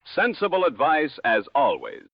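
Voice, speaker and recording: A man playing a genial, courtly 1960s radio host, speaking formally with measured, dry humour. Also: on the high side, huge pitch swings, slightly rough, no echo, dull audio, exaggerated voice. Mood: genial, wry. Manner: measured, formal, dryly humorous. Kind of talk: 1960s radio broadcast acting. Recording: dry, band-limited vintage radio sound.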